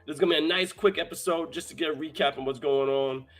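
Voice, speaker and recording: A young adult man hosting a live streamed show, hyped and energetic, his voice hoarse from talking so much. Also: a little high, fast, soft background music. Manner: energetic, shouting out viewers in chat. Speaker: host.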